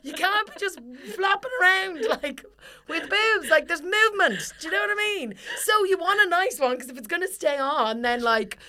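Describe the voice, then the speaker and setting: A young woman, co-hosting a casual comedy podcast and ranting animatedly with lots of swearing, her voice slightly husky. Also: extremely high pitch, lively intonation, moderate pace, bright, forceful tone. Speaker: podcast co-host.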